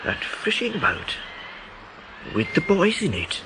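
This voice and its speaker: An agitated, brooding radio-drama character haunted by dread. His pitch moves widely and his phrases are clipped. Faint noise sits behind the voice. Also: wildly swooping pitch, slow pace, heavily acted. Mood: urgent, alarmed. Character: agitated, suspicious man haunted by spreading terror.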